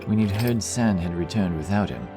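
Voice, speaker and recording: Low-voiced male fantasy narrator over music. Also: normal speed. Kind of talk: scripted fantasy audiobook narration.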